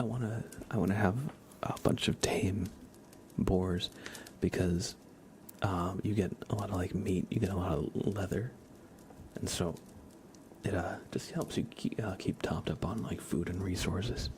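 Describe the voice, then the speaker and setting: A low-voiced man talks in a hushed, half-whispered ASMR style, as if hosting a laid-back livestream. His speech rambles casually with small hesitations, over clearly audible ambient noise. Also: a little slow, pauses often. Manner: hushed, often slipping into whispers. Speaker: livestream host. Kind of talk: soft-spoken ASMR livestream, casual chat.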